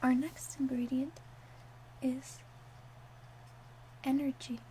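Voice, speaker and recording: A woman's voice: high voice, little pitch movement, warm tone. Woman playing a whispering witch, noisy recording. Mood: gentle, unhurried. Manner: unhurried, soothing, whispery. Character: gentle, attentive witch mixing love potions. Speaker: ASMR roleplay performer. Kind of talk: soft whispered ASMR roleplay.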